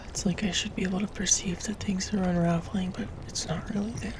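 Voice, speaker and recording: A woman playing an anxious, reluctant young leech girl in an audio roleplay, hesitant and often dropping into a hushed, breathy whisper. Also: mid-range voice, fairly even intonation, moderate pace, slight background noise, lightly acted. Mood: hushed, downcast.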